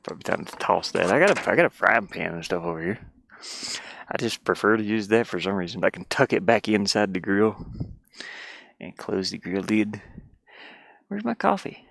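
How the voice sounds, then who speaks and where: A man's voice: fairly low pitch, very animated, normal speed, gravelly, long pauses, a bit bright, a little hiss or hum. A man chatting casually and loosely while he works, mumbling asides to himself in an informal, rambling way. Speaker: streamer and hobby game developer. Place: inside a van.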